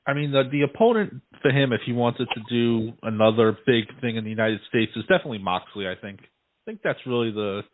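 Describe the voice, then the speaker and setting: A man's voice: mid-range voice, fairly quick, dry recording. Radio host guy, chatty, lots of likes and uhs, sounds like a phone call. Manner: chatty, filler-heavy questions. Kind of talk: casual interview. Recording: tinny dry phone line.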